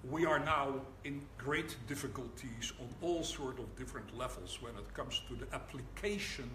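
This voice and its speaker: Older dutch guy giving a talk, wry and slow, echoey room. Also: mid-range voice, a bit bright. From Netherlands. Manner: wry, deliberate, pauses for laughs. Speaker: rabbi giving a lecture. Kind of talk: public lecture. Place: lecture hall with an audience. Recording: echoey hall, audible room noise.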